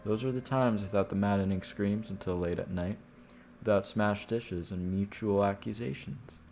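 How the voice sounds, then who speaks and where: A man's voice: lowish voice, steady tone, normal speed, a little mellow, slight background noise. A man streaming a visual novel, reading the narration aloud with informal, casual asides.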